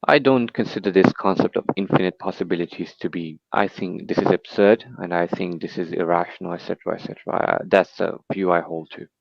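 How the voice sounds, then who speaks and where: Man from Pakistan, very low voice, raspy fry, challenging caller. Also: fairly quick, few pauses, no echo, dull audio. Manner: pointed, challenging questions. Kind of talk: call-in debate questioning.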